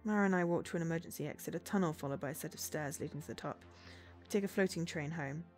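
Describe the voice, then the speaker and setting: A woman's voice: low voice, fast. A woman streamer reading a visual novel aloud as dramatic narration. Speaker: game streamer. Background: game music.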